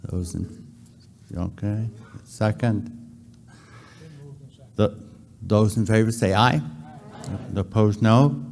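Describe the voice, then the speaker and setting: Man, town meeting moderator, slow procedural delivery, lowish mellow voice. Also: creaky. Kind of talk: running a town meeting vote.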